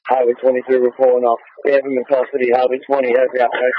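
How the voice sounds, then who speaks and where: A man's voice: mid-range voice, monotone, fast talker, dry recording. A man, a police officer, giving brief reports over a police radio. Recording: tinny, phone-like police scanner radio.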